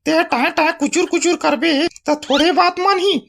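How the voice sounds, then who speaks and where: Cartoon village mother, female actor: high voice, Chhattisgarhi accent, coaxing, plaintive, bickering, slightly noisy audio. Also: moderate pace, vocal fry, fairly bright tone, full character voice. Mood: defensive. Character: coaxing, complaining village mother of two boys. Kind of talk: cartoon comedy acting.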